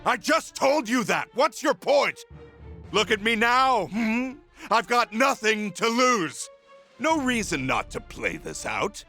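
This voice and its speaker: An actor playing an embittered, menacing middle-aged villain in a video game, with a theatrical, taunting delivery. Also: male voice, high-pitched, sing-song, takes it slowly, bright, forceful tone, faint music behind, heavily acted. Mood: taunting, unhinged. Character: embittered, menacing villain, a false father consumed by obsession. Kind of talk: dramatic video game voice acting.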